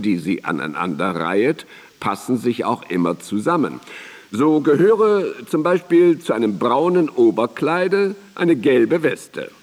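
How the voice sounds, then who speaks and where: Formal biographer, animated, echoing hall. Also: male voice, medium pitch. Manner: measured, emphatic, formal. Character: reverent former pupil and biographer, reminiscing formally. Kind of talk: radio drama, literary reminiscence. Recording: reverberant hall, radio drama on cassette.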